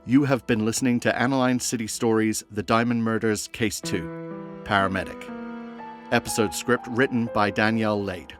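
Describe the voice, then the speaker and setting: A man's voice: on the low side, steady tone, normal speed. Male podcast announcer over guitar music. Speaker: announcer reading credits. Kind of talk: scripted podcast credits narration. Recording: clear guitar music behind voice.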